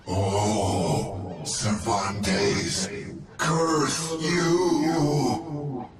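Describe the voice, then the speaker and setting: A man voicing a tormented, vengeful spirit of the dead in a video game, with drawn-out, anguished delivery. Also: mid-range voice. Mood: anguished, bitter. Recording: echoing, distant, noisy ghostly sound.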